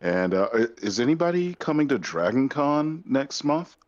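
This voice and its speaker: A man's voice: mid-range voice, big pitch swings, normal speed. A man chatting casually and gushing with gratitude, calling in on a phone. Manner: gushing, grateful. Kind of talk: casual chat. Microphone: phone on an internet voice call. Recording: dull, lo-fi phone call audio.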